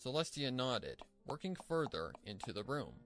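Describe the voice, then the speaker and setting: A man reading scripted story narration in an expressive storytelling manner. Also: medium pitch, moderate pace, soft background music.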